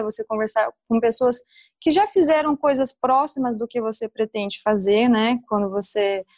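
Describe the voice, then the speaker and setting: A woman's voice: a little high, no room sound. A young adult woman with a rural interior São Paulo accent, telling her experiences casually as a podcast guest. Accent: rural interior São Paulo Brazilian (caipira). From interior of São Paulo state, Brazil.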